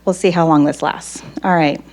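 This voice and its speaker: A woman professor giving a psychology lecture, her voice still hoarse after losing it, talking conversationally and checking in with the audience. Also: sits low, fairly even intonation, moderate pace, a little mellow, a little hiss or hum. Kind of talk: academic lecture.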